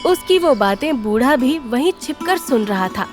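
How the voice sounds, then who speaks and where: A woman's voice: high voice, expressive, moderate pace, soft background music. A woman reading scripted storytelling narration for a cartoon tale.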